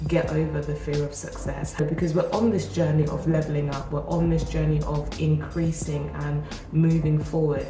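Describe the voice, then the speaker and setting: Woman with a blocked nose, low voice, echoey room, music under it, chatty motivational vlog. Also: creaky. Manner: earnest, encouraging, casual with restarts. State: congested from a cold, nasal and groggy. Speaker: self-improvement vlogger. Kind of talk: motivational talk to camera. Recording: roomy echo with music behind. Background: background music.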